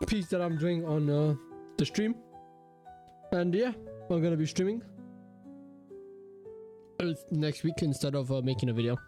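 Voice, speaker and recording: A man's voice: a little high, darkish tone. Rambling young man with a cold. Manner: rambling, many fillers and restarts. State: has a cold, voice deeper than usual. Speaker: digital art YouTuber. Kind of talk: casual voice-over narration of a drawing video. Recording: faint guitar music behind. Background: faint guitar music.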